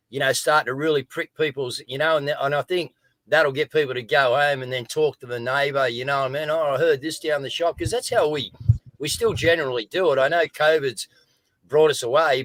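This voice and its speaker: Aussie host, booming voice, dry close mic, chatty. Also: male voice, dry recording, rough recording. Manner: loud, booming, addresses folks. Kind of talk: casual live stream chat.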